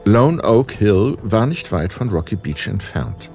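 A man's voice: on the low side, big pitch swings, measured pace, gravelly, over music, full character voice. A man narrating a German audio drama in a scripted storytelling style.

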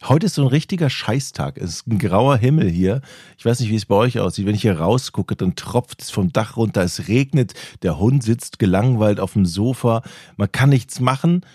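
Podcast co-host, man with a cold, low husky voice, deadpan. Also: moderate pace, no room sound, studio quality. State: slight cold, frog in throat. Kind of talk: casual chat.

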